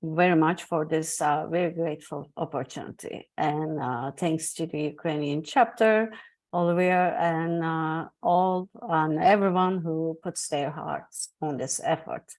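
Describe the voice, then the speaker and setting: Low, slow Turkish-accented woman. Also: darkish tone. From Turkey. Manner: hesitant, many fillers. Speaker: guest speaker, academic. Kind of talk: online presentation. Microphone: video call.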